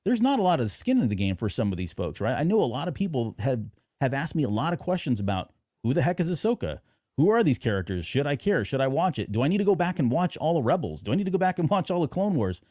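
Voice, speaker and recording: A man's voice: on the high side, very animated, fast talker, dark tone, no echo, clean studio sound. A middle-aged man hosting a fan podcast, talking through his points in a casual, agreeable, discursive way. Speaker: podcast host.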